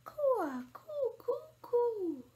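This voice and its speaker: A woman imitating lively storybook songbirds with exaggerated, repeated calls. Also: very high voice, extremely slow, voice pushed up, heavily acted. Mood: bright, loud. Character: lively storybook songbirds calling in repeated chirps, coos and caws.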